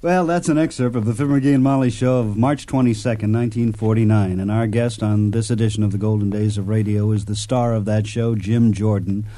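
A man's voice: fairly low pitch, fairly even intonation, fairly quick, few pauses, dark tone, a little hiss or hum. A man hosting a radio program, presenting and interviewing. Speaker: radio host. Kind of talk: scripted introductions and interview.

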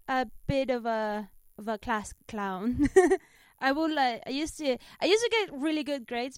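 A woman from Spain with a Spanish accent, chatting casually as a comedian guest, animated and self-deprecating. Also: mid-range voice, clean tone, no room sound, studio quality. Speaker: stand-up comedian, interview guest. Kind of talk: casual chat, telling anecdotes.

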